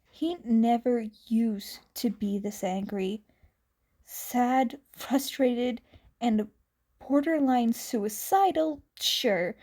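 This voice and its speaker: A woman reads a fan fiction story aloud slowly, with quiet, calm narration. Her voice is fairly high and her tone stays mellow and warm. She takes her time over each phrase. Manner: slow, quiet, calm reading. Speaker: podfic reader.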